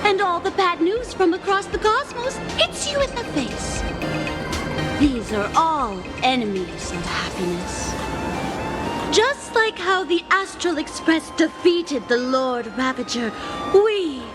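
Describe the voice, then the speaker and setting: A woman's voice: very high-pitched, sing-song, takes it slowly, over loud music, exaggerated voice. A woman playing a cheerful, zealous recruiter for a happiness society in an anime game, speaking like a polished, theatrical preacher. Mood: dramatic lamenting.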